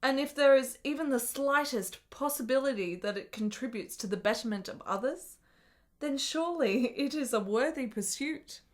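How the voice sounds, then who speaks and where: An actress playing an ailing, lonely, sharp-witted woman of the 1870s in a radio drama, speaking in measured, literate, wry phrases. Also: high-pitched, close to an everyday voice. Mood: wistful, wry. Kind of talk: period radio drama acting.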